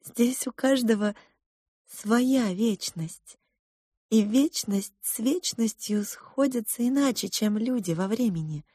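A woman's voice: fairly high pitch, breathy edge, no echo, studio quality, pitched above the performer's own voice. A woman doing a sighing, fretful royal cook. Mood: sighing, hushed. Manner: sighing, hushed and drawn-out. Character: fretful, melodramatic junior royal cook, a mother.

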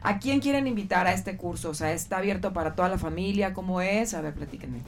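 Mexican woman hosting radio, lowish voice. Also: quick pace, a little hiss or hum. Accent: Mexican Spanish. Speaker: radio host. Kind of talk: conversational radio talk, interviewing guests.

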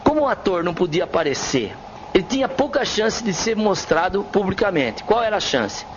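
A man's voice: high-pitched, very animated, a little fast. A Brazilian man, a radio broadcaster, explaining things in an interview. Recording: muffled, faint background noise.

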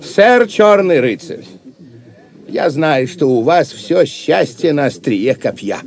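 Generous Saxon nobleman: stately, declamatory drama delivery, unhurried, lively pitch. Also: exaggerated voice. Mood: hearty, solemn. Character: hearty, generous Saxon nobleman, proud host. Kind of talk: audio drama acting.

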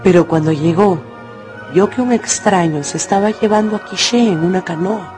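A woman narrating a dramatized radio novel with expressive storytelling. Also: lowish voice, moderate pace, music in the background.

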